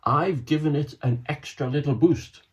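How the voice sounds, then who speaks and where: A man reading aloud, voicing a brisk, matter-of-fact tech-wizard sidekick. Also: fairly high pitch, dull audio, natural voice.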